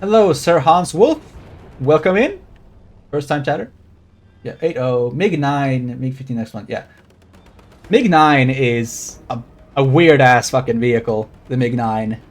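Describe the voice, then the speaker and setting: A man's voice: mid-range voice, animated, measured pace. Swedish man with an American accent, faint music. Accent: General American. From Sweden. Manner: rambling, swears freely, talks to chat while playing. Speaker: game streamer. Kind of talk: casual live gaming commentary.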